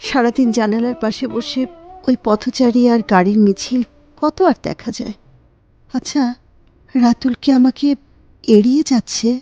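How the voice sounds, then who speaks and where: A woman's voice: a little high, fairly even intonation, normal speed, long pauses, dark tone, lo-fi sound, natural voice. A woman acting a lonely, ailing wife in an audio drama. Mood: forlorn, resigned. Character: lonely, ailing, neglected wife, quietly despairing. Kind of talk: Bengali radio drama acting with monologue. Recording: guitar music behind the voice.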